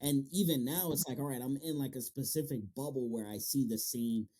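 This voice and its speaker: Male interviewer, mid-pitched and very relaxed in tone, informal and slangy, conversational delivery. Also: brisk. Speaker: interviewer, show host. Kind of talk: casual interview chat.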